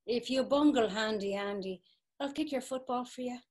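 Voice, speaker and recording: An actress playing a domineering brothel madam, commanding and mocking, in a dramatic reading over a video call. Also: female voice, a little high, normal speed, a little mellow, close to an everyday voice. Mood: taunting. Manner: commanding, taunting. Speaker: stage actor.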